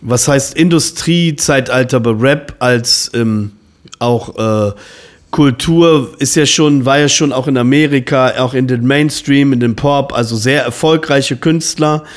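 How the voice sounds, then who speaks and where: A man's voice: mid-range voice, unhurried. A man in his forties hosting an interview podcast, asking long, rambling questions full of fillers and restarts. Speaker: podcast host. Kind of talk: casual interview chat.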